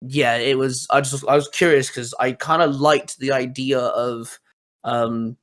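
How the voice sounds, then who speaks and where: A man's voice: mid-range voice, fairly even intonation, measured pace, a bit bright. A man hosting a podcast, asking questions in an informal, roundabout, conversational way. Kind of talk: casual conversational interview.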